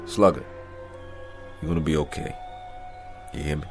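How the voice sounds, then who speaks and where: An actor playing a weary aging boxer in a radio drama, plain-spoken, disillusioned but kind. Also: male voice, a bass voice, normal speed, gravelly, music in the background. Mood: gentle, reassuring.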